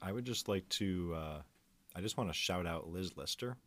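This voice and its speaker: A man's voice: sits low, moderate pace, noisy background. A man chatting casually as a guest on a podcast.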